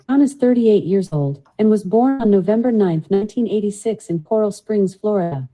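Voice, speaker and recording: A synthesized female voice assistant reading out factual answers in an even, measured way. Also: medium pitch, rough recording. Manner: even, measured, flat delivery of facts.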